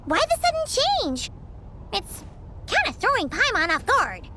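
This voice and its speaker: Squeaky, very high tiny fairy girl. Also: huge pitch swings, measured pace, background noise, heavily acted. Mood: curious, cheery. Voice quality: squeaky, childlike. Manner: refers to self in third person, exclamatory. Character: tiny excitable childlike fairy girl companion, cheeky and huffy. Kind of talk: animated video game voice acting. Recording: loud music behind the voice.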